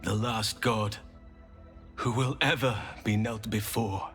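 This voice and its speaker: A man playing an anguished, corrupted nature druid in a video game, speaking in a grave, tormented, halting way. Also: on the low side, fairly even intonation, a bit bright, soft background music. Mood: dark foreboding. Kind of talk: dramatic video game character acting.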